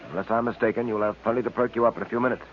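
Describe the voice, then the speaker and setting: An actor playing a calm, commanding detective in 1940s radio style, with a dry, clipped, unflappable delivery. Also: male voice, fairly low pitch, very fast, natural voice. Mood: dry, firm. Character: calm, commanding adult male police detective. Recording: muffled old radio recording with hiss.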